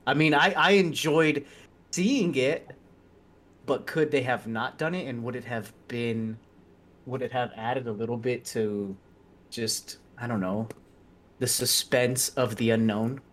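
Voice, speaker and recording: Laughing, animated young man chatting. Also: medium pitch, measured pace, a little hiss or hum. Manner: animated, laughing, filler-heavy. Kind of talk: casual chat.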